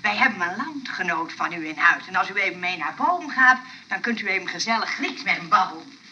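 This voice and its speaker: An actress playing a fussy, effusive housewife hostess in a Dutch radio play, coaxing and exclaiming. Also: female voice, medium pitch, animated, a little fast, highs cut off, lightly acted. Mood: polite, brisk. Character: fussy, excitable housewife hostess, chattering and eager to please. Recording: noisy old radio play recording.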